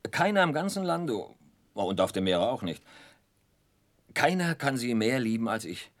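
An actor playing a shy, sturdy, devoted young fisherman in a 1960s radio play, speaking haltingly and earnestly, repeating words. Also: medium pitch, sing-song, no room sound. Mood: deeply moved.

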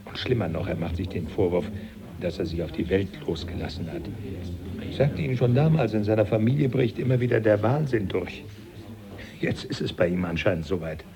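A man's voice: lowish voice, lively intonation, fairly quick, crowd noise. An actor playing a genial older male professor, dryly amused and familiar, in a German radio play. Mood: wry, confiding. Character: genial old professor, the hero's former teacher, dryly amused.

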